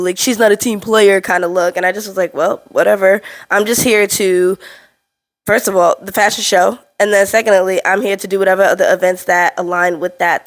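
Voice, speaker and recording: A woman in her twenties speaks to her camera in a casual, frank vlog, her voice a little stuffy from a cold. She tells her story in an animated, candid way, her pitch sitting in an ordinary middle range. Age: 20s. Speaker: vlogger.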